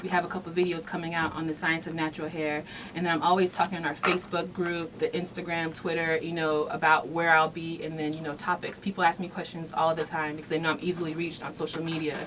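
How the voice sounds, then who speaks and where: A woman speaking as an interview guest, explaining things in an earnest, emphatic way. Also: lowish voice. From Nigerian background. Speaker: interview guest, hair care company founder. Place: radio studio. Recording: noisy room, distant mic.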